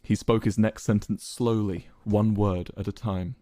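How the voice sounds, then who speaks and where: A man's voice: fairly low pitch, fairly even intonation, unhurried, mellow, no room sound, studio quality. A man narrating a scripted story in a dramatic style.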